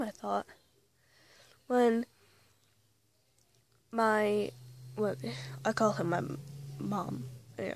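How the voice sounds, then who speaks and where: A woman telling a rambling story in a casual vlog, correcting herself as she goes, with a slight cold and a voice that is starting to go. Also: mid-range voice. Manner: rambling, self-correcting, chatty. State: slight cold, losing her voice. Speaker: vlogger playing an invented actress.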